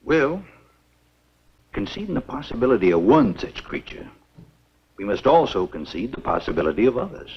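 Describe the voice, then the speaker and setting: Casting: older scientific doctor, husky, lively intonation, grave, lecturing, muffled vintage film audio. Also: male voice, medium pitch, heavily acted. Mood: grave, pondering. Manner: measured, lecturing. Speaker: film actor. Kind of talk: 1950s B-movie screen acting. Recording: muffled 1950s film soundtrack.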